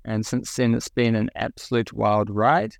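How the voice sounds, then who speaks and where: A man's voice: mid-range voice, moderate pace, creaky, no room sound, studio quality. A young man with a New Zealand accent, a podcast guest explaining a product with many ums and restarts. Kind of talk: podcast interview.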